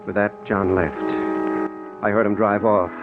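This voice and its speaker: A man's voice: steady tone, unhurried, loud music behind the voice, lightly acted. A man acts a nervous, loyal companion in a 1940s radio mystery. He sounds easily alarmed and tense. The old recording is thin and tinny, a long way from modern sound. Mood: tense, recounting. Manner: nervous, pitch leaps in alarm. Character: anxious, loyal male companion narrating the tale.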